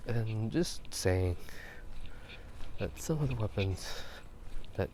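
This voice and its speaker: A man talking softly in a casual, rambling ASMR style, often slipping into a breathy whisper. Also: on the low side, animated. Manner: hushed, halting, unhurried. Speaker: ASMR video host. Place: outdoors at night, walking near a park. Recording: clearly noisy outdoor ambient sound. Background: outdoor ambience.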